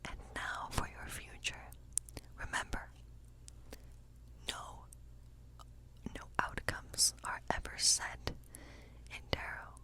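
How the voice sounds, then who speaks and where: A woman playing a gentle witch in an ASMR tarot role play, speaking in a soft, breathy, unhurried whisper. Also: close to an everyday voice. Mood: soft, musing. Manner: gentle, unhurried, softly musing. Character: friendly cottage witch woman reading tarot by night.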